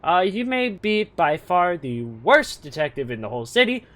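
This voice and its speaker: Man playing a high-pitched, animated, bumbling police chief. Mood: grudgingly supportive. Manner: halting, hesitant, folksy phrasing. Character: male police chief, exasperated, bumbling but fatherly. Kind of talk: comedic audio drama acting.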